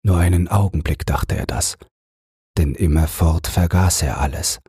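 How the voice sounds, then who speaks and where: A man's voice: low voice, normal speed, mellow, slightly rough, no echo, clean studio sound. A man reading as an audiobook narrator, in scripted literary narration meant for relaxing and falling asleep. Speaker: audiobook narrator and podcast host.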